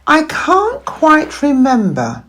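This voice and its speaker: Woman playing a slow, dramatic monster dad. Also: high-pitched, huge pitch swings, a bit bright, voice pushed up, heavily acted. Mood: ominous. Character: cautionary Gruffalo father, big male monster.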